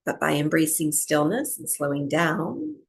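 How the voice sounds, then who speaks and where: A woman teaching breathwork, guiding a meditation in short, unhurried phrases with long pauses between them. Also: low voice, sing-song, gravelly, slightly rough. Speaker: breathwork and yoga nidra teacher. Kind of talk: guided meditation, slow soothing instruction.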